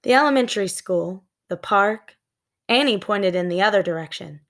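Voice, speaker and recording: A woman's voice: medium pitch, expressive, normal speed. A woman reading scripted narration from a children's storybook. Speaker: storybook reader.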